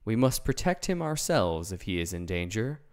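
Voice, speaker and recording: A man voicing a tin man in a storybook reading, steady and reassuring. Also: fairly low pitch, moderate pace. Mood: calm.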